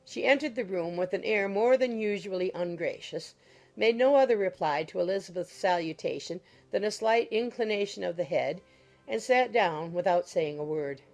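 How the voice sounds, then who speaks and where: A woman's voice: mid-range voice, normal speed, darkish tone, soft background music. A woman reading scripted literary narration as an audiobook narrator.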